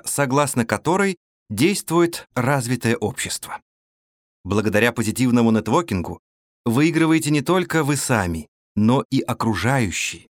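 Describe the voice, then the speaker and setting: A man reading a business audiobook as a narrator, in a measured, clearly articulated scripted delivery. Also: mid-range voice, dry recording, studio quality. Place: recording studio.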